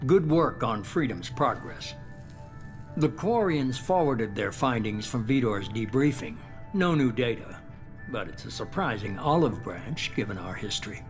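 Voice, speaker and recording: Video game shadowy boss, male actor: middle-aged, calculating, authoritative, measured delivery, music underneath. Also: medium pitch, close to an everyday voice. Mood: measured, grave.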